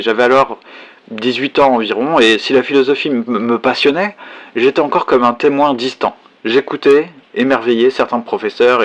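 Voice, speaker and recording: A man's voice: mid-range voice, natural voice. A man playing a solitary, bookish survivor who reflects on his past in a conversational, hesitant first-person science fiction audio drama monologue. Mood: nostalgic.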